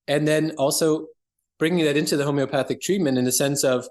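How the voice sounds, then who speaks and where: A man's voice: fairly high pitch, steady tone, brisk. A man speaking as an interview guest, explaining things conversationally and earnestly as a practitioner.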